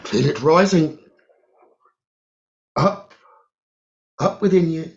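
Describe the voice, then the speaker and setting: A man leading a guided meditation as a teacher, giving gentle instructions in short phrases with long pauses. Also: fairly high pitch. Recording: roomy echo, dull lo-fi sound.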